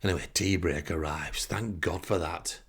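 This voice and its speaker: A man's voice: low-pitched, moderate pace, slightly rough. A man from England, telling a comic anecdote in a wry, exasperated way. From northern England. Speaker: storyteller.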